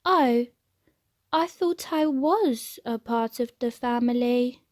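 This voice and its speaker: A woman's voice: a little high, slow, darkish tone, no room sound, studio quality. A woman voicing a polite, eager, innocent young male bear in a children's storybook, with an earnest manner. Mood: sad.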